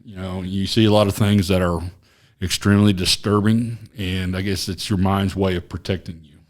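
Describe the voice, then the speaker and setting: A man in his forties from Oklahoma, a retired police officer, telling his story as a podcast guest in a small office studio, rambling and halting with many fillers. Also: deep voice, level tone. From Oklahoma, USA. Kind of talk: casual interview storytelling.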